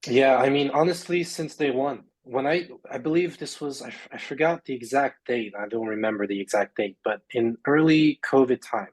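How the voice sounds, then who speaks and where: A man's voice: mid-range voice, moderate pace, few pauses, poor audio. Rambling man guest on a podcast. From Luxembourg. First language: English. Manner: rambling, many fillers and restarts. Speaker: podcast guest, sports agent. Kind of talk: casual interview chat.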